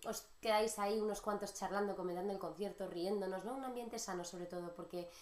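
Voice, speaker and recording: A woman with an accent from Spain, a singer-songwriter guest, chatting in an animated, talkative way. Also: mid-range voice, fast talker. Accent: Spanish from Spain. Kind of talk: casual interview chat. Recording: echoey room.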